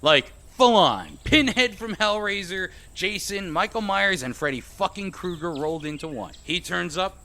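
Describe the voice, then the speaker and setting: An actor playing an alarmed, fatalistic survivor with an emphatic, sardonic delivery in an audio drama. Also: male voice, high voice, lively intonation, moderate pace, few pauses, bright, forceful tone, a little hiss or hum, exaggerated voice. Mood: urgent, fatalistic. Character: alarmed, foul-mouthed, fatalistic male survivor.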